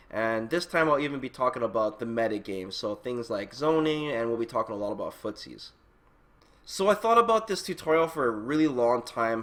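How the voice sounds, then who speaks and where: Guy doing a casual update for his gaming channel, regular pitch, chatty and informal, talking straight to viewers. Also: a bit bright. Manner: informal, conversational, addresses viewers directly. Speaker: video game channel host.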